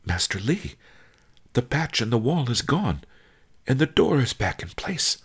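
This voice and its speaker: A man doing a hushed, earnest young pupil. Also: medium pitch, big pitch swings, measured pace, slightly rough, voice pushed up, heavily acted. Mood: amazed. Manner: wondering, hushed.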